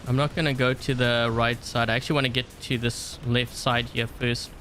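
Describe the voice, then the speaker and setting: A man's voice: mid-range voice, flat delivery, moderate pace, a bit bright, faint music behind. Chatty male gamer commentating a live stream. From South Africa. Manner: chatty, thinks aloud with many fillers. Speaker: game streamer.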